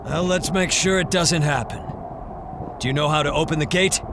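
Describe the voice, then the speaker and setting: A man's voice: a little high, normal speed, background noise, lightly acted. A man playing a bold, confident monster hunter in an audio drama, commanding and hearty. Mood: focused. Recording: loud music under the voice.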